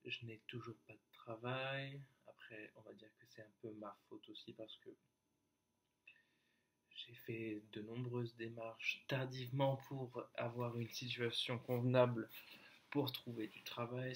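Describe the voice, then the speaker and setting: A young man talking to his viewers in a casual, confessional vlog, hesitant and halting, often restarting and trailing off. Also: fairly low pitch, fairly bright tone, breathy edge. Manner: addresses the viewer directly, informal. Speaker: YouTuber.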